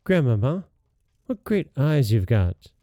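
A trusting little country girl from a fairy tale, put on by a man reading aloud. The voice lifts higher and stays very mellow, with artless wonder. It is recorded dry and close. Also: big pitch swings, takes it slowly, no room sound. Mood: amazed.

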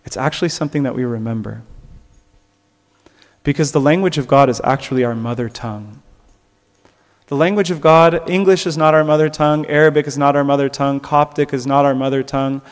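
A man's voice: mid-range voice, fairly quick, long reverb tail. A man preaching a sermon in an earnest way, rising at times to emphatic peaks. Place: church.

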